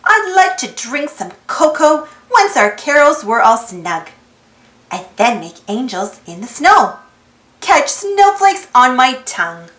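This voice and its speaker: A woman reading a children's storybook aloud in an expressive, singsong rhymed delivery. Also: high-pitched, slow pace. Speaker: storybook reader, channel host. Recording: roomy echo with music behind. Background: music.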